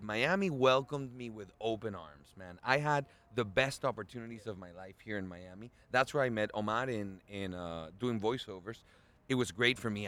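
A man with a mid-pitched voice, co-hosting a casual podcast. He speaks in a relaxed, easygoing way and drops words into his English. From Venezuela. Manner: relaxed, mixes in Spanish words. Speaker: podcast co-host and voiceover artist.

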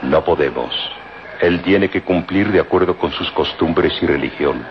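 Deep, grave middle-aged father. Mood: resigned. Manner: grave, deliberate. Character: sober, resigned father leading an expedition. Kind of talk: vintage radio serial acting. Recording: muffled, noisy old radio recording.

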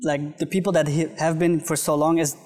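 Low-voiced woman hosting a podcast at a villa, friendly, lots of ums while asking questions. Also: little pitch movement, a little fast, darkish tone. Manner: friendly, prompting questions with frequent fillers. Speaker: podcast host. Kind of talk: casual interview chat. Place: outdoors at a villa.

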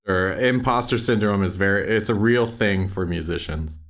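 A man's voice: fairly low pitch, moderate pace, a bit bright. A male podcast host asking open, easygoing interview questions.

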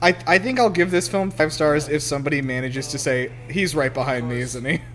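A man hosting a podcast, giving casual, jokey, excitable commentary on a film. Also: on the high side, brisk, soft background music. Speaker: podcast host.

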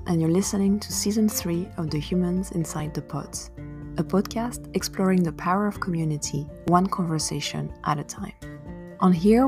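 Woman host, measured scripted intro, creaky low voice, light guitar underneath. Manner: measured, reading a prepared welcome. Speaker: podcast host. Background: soft guitar music.

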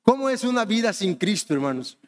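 A man's voice: high voice, big pitch swings, brisk, in a large hall. A man from Honduras preaching a sermon in a conversational way, often turning to the congregation with questions. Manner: conversational preaching, often asks the congregation "¿verdad?" and says "hermanos".

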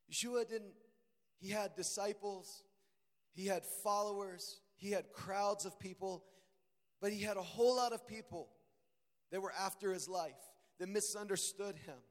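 A man preaching a sermon, his voice hoarse and strained, earnest and rising to emphatic peaks. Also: on the high side. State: strained voice, deeper than usual. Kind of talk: sermon reading and expounding scripture. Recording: long hall reverb.

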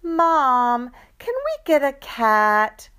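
A woman's voice: high voice, sing-song, extremely slow, bright, forceful tone, voice pushed up, heavily acted. A woman voicing a teenage sister with a fake sweet, whiny way of asking.

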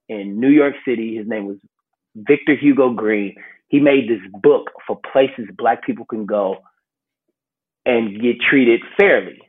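An American man telling stories casually as a guest, slangy and animated in the way he acts out little exclamations. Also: mid-range voice, husky. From Milwaukee, United States. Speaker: podcast guest, travel series host. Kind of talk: casual conversational storytelling. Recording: thin phone-like call audio.

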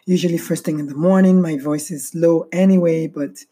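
A middle-aged woman hosting a solo podcast, speaking slowly and heavily with a low, barely audible voice. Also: steady tone, mellow, slightly rough. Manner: slow, heavy-hearted and reflective. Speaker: podcast host.

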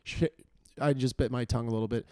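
A hoarse man hosts his podcast, rambling and self-deprecating with lots of fillers. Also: medium pitch, fairly even intonation, fast, warm tone, dry recording, clean studio sound. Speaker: podcast host. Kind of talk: casual solo intro monologue.

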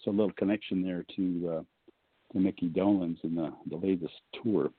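Male guest with a deep baritone voice, loose and chatty, heard over a thin phone line. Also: steady tone, fairly quick, slightly rough, dry recording. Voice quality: low baritone voice. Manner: rambling, joking, many fillers. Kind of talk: casual storytelling on a call-in show.

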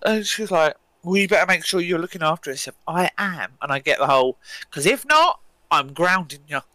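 Lively woman in her forties. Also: on the low side, fairly quick, no echo. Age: 40s. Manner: laughs often, playful and swearing. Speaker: podcast co-host. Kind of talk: casual chat.

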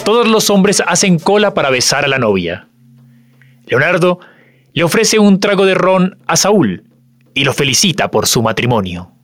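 A man's voice: a little high, big pitch swings, moderate pace, dry recording, clean studio sound. A man reading dramatic scripted narration as the narrator of an audio drama. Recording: loud music bed under narration.

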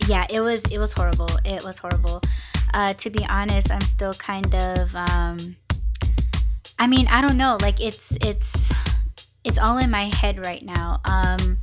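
A young woman hosting her own podcast voice blog, talking casually and haltingly with many fillers, her voice raspy and weak from being sick. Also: medium pitch, over loud music. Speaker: podcast host.

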